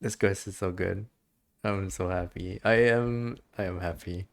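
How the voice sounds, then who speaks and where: A man livestreaming a game, reacting casually and excitably and sometimes reading the text aloud. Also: deep voice, a little slow, gravelly. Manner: excitable, giggly, stumbles when reading. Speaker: game streamer.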